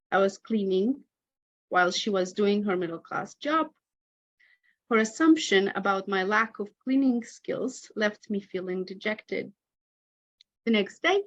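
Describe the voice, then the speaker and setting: A woman in her thirties speaks with a Moldovan accent, her first language Romanian, at a mid-range pitch. She reads a reflective personal account as a conference presentation. The recording is somewhat dull and lo-fi. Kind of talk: academic conference talk.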